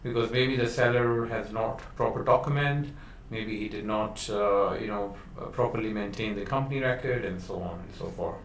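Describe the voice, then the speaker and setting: Man speaking low, in a roomy echo. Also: normal speed, few pauses. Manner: conversational, lots of fillers and restarts. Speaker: podcast host. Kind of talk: casual business interview. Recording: roomy echo, distant mic, faint noise.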